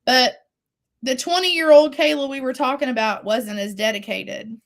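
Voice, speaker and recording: A woman's voice: high-pitched, normal speed. A woman in her forties, a singer-songwriter talking earnestly and reflectively in an interview, her voice still recovering after a loss. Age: 40s. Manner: earnest, faith-driven, with laughs and restarts.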